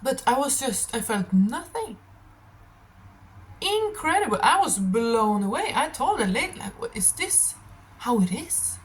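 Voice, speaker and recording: A young woman, non-native English speaker, with a slightly raspy voice, chatting casually and telling stories in a scattered, animated way as she hosts a tarot reading. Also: fairly high pitch, unhurried, low-quality recording. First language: not English. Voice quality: a little raspy. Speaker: tarot reader hosting a stream. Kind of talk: casual rambling monologue.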